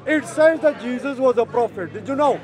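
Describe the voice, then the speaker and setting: An older man debating in a park, citing scripture in a slow, repetitive, measured way. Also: very high voice, expressive, a crowd in the background. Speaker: street preacher. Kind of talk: religious street debate. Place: outdoor park among a crowd.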